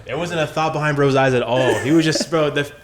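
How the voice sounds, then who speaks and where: Fast-talking young American man, roomy sound. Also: a little high, noisy background. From Detroit and Tennessee, United States. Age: young adult. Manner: animated storytelling, slangy, repeats phrases. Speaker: podcast guest. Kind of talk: casual podcast chat.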